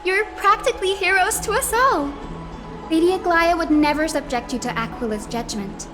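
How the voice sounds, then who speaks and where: A woman's voice: very high-pitched, normal speed. A woman voicing a sweet, cheerful young healer in a fantasy video game, with a bubbly, reassuring manner. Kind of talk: scripted video game voice acting. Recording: music behind the voice.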